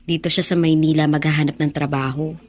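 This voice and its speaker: An actress with a Filipino accent playing a poor, orphaned young bar hostess in a radio drama, wistful and soft-hearted. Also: female voice, low-pitched, little pitch movement, fast. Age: young adult.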